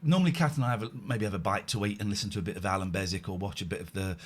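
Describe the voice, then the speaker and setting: A man's voice: on the low side, rapid-fire. A man hosting a late-night call-in show, chatting casually and rambling between jokes. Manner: chatty, rambling, jokey, self-deprecating. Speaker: radio show host.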